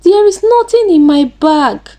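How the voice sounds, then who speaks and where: A woman's voice: sits high, very animated, measured pace, a little mellow, voice pushed up, full character voice. A woman voicing a guilty, frightened young house girl in a folktale, pleading and dramatic. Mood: defensive.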